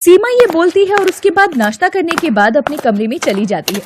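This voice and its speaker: Woman narrator, high voice, even storybook narration, cartoon moral story. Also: a little fast, background noise.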